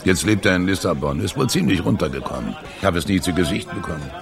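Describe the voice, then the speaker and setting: An actor playing a boozy, wistful male barfly in a German radio drama, rambling and musing in a gravelly voice. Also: deep voice, lively intonation, quick pace, music in the background. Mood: musing, resentful. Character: boozy, wistful male barfly, slyly sociable jazz fan. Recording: bar crowd murmur behind.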